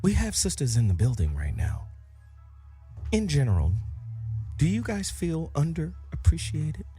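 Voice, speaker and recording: A man's voice: a little high, huge pitch swings, unhurried, warm tone, soft background music. A man hosting a radio talk show in a boisterous, colloquial, bantering way. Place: studio with guests.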